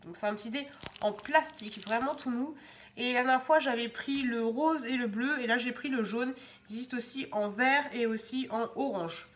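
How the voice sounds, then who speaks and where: A woman's voice: a little high, moderate pace, slight background noise. A young woman with a cold, chatting casually at home as she shows off her shopping haul, with lots of fillers and restarts. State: has a cold, stuffy. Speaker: vlogger showing shopping haul. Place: home indoors.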